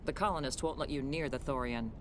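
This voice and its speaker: A woman's voice: very deep voice, quick pace, background noise. An actress playing a resolute middle-aged colony scientist and mother in a dramatic video game. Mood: firm, practical.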